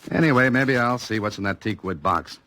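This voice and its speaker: An American actor playing a wry, cool novelist-sleuth in a 1940s radio drama, with dry, clipped delivery. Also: male voice, fairly low pitch, brisk, pushed and bright. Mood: wry, intent. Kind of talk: 1940s radio drama acting and first-person narration. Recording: old radio recording with music behind.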